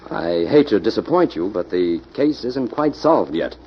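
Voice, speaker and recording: Commanding detective, low lively male voice, confident, authoritative, golden-age radio acting, muffled sound. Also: normal speed. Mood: measured. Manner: authoritative, clipped instructions. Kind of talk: old-time radio drama acting. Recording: muffled old radio recording, faint noise.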